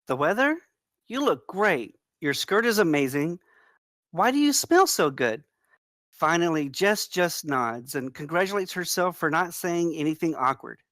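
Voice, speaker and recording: A man playing a gentle, devoted young woman, soft-spoken and earnest, in a screenplay table read. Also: on the high side, very animated, a little slow, no echo. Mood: reading aloud.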